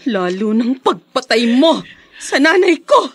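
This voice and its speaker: Vengeful, high-voiced young Filipino woman. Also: sing-song, unhurried, husky, full character voice. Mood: accusing, bitter. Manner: emotional, accusing, swooping between low menace and shrieks. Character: vengeful, grieving young woman confronting her mother's killer. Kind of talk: Filipino radio drama acting.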